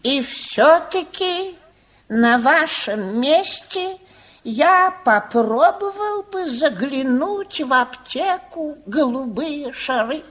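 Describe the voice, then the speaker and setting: A woman playing a kindly, quaint little old male doctor in a radio play, soothing and unhurried. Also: high-pitched, lively intonation, a bit bright, heavily acted. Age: older.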